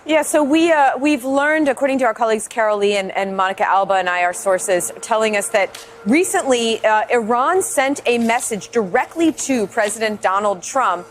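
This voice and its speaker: A woman's voice: a little high, expressive, moderate pace, slight background noise. A woman with an American accent explaining her reporting in a live television news discussion.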